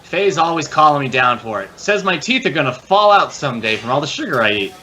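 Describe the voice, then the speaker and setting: Easygoing american cop partner, highish bright voice, talks quick and casual, old radio show. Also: male voice, very animated. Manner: casual, chatty. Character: easygoing, homey male detective sidekick. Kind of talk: 1950s radio drama dialogue.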